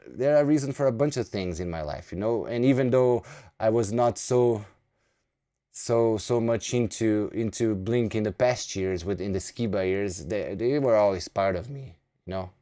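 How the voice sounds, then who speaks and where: Brazilian-accented man, mellow rambling stream chat. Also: lowish voice, clean tone. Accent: Brazilian Portuguese. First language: Portuguese. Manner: rambling, repeats words as he restarts. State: sleepy. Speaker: live stream host. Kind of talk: casual live stream chat.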